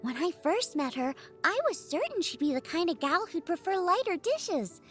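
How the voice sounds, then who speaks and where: A woman voicing a bubbly, eager teenage girl chef in scripted video game lines, perky, earnest and expressive. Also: very high voice, exaggerated voice. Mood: admiring.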